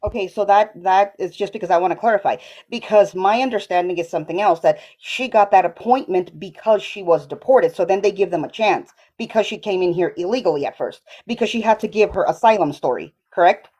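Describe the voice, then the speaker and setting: A woman's voice: medium pitch, a little fast, hardly any pauses, darkish tone, breathy edge, lo-fi sound. A woman caller from Colombia, earnest and hesitant, restarting her sentences as she presses a point. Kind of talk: call-in debate.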